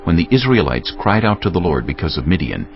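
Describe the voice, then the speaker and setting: A man narrating scripture from a script as a measured storyteller. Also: low voice, sing-song. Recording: dull lo-fi audio, faint music. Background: music.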